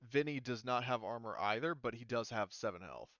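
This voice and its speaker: A man's voice: mid-range voice, lively intonation, brisk, a bit bright, muffled recording. A man running a tabletop game as game master, explaining rules in a hesitant way with many ums and restarts.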